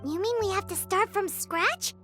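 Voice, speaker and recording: A woman voicing a small, excitable and anxious childlike fairy companion in a video game, with a squeaky, exclamatory delivery. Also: normal speed. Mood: worried. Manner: exclamatory, speaks of herself in third person. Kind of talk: video game character acting. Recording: game music loud behind voice. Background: loud game music.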